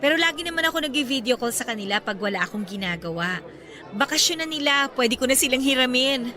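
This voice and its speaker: A woman's voice: high voice, lively intonation, fast talker, pushed and bright. A woman with a Filipino accent playing a devoted, affectionate girlfriend in a radio drama. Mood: playful, fond. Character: devoted, sweet girlfriend in her thirties, newly graduated. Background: background music.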